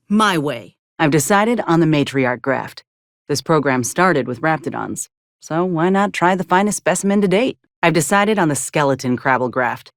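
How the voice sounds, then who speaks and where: A woman's voice: lowish voice, no echo. A woman voicing a tough, dry-witted soldier companion in a video game, blunt and wry with folksy phrasing. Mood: resolute.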